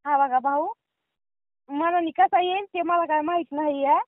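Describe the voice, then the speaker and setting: A woman with a very high voice speaks over a thin, tinny phone line. She sounds amused and suspicious as she answers an unknown caller. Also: fairly even intonation, unhurried, no room sound. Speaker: prank call target. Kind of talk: phone conversation. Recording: tinny phone call recording.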